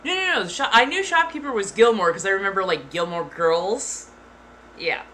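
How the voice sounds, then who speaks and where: A woman streamer chatting casually with her viewers, blunt and frank, keeping her voice deliberately flat and dropping the odd swear. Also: medium pitch. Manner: blunt, deliberately monotone delivery. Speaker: streamer answering chat. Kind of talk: casual live-stream chat. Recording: clear background noise.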